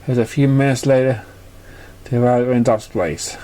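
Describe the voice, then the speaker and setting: A man's voice: medium pitch, steady tone, a little fast, warm tone. A man reading a story aloud as narrator, stumbling over words as he goes. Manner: stumbling, uneven reading with slips. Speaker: fan fiction reader.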